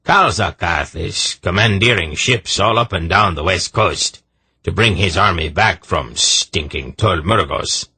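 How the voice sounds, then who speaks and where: A man's voice: low-pitched, unhurried, bright, forceful tone, breathy edge, no echo, full character voice. A man voicing a coarse, crafty male trader who talks bluntly. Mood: gruff.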